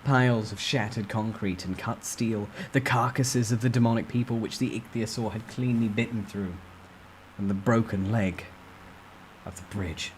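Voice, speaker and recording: A man's voice: fairly low pitch, steady tone, normal speed, slight background noise, poor audio. A man reading a fantasy novel aloud as a dramatic, suspenseful first-person narrator. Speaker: amateur story narrator.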